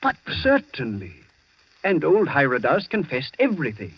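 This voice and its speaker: Jail attendant, talkative, puzzled, matter-of-fact, husky with swooping intonation, dry dull vintage radio recording. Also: male voice, on the high side, huge pitch swings, moderate pace, dry recording, heavily acted. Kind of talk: 1940s radio drama acting.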